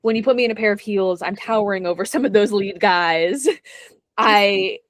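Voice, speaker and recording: A woman's voice: medium pitch, normal speed, dry recording. Young woman talking shop, laughs a lot, dead dry close sound. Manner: chatty, self-deprecating, laughs often. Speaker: guest actor. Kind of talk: casual interview chat.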